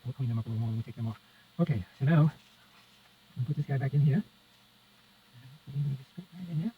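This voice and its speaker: Fast-talking man, dull lo-fi recording. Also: medium pitch, slightly rough. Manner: muttering step-by-step commentary to himself and viewer. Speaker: presenter of a radio repair how-to. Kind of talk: casual hands-on demonstration talk. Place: indoors at a repair workbench. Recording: dull lo-fi sound with faint noise.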